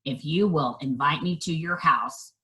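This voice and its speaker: A woman voicing a young frog prince who speaks in a low, polite, bargaining way. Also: a little slow, bright, forceful tone, low-quality recording.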